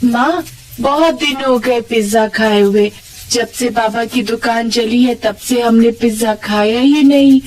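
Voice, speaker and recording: A woman's voice: fairly high pitch, a little slow, darkish tone, recorded from a distance, soft background music, natural voice. A woman voicing a wistful little daughter pleading with her mother in a children's storybook tale.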